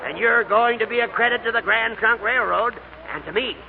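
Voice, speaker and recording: High, creaky, pompous railroad boss. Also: male voice, brisk. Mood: genial, formal. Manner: formal, declamatory. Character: pompous, genial railroad boss giving speeches. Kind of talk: 1940s radio drama acting, speechmaking. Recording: narrow, faintly noisy old radio broadcast.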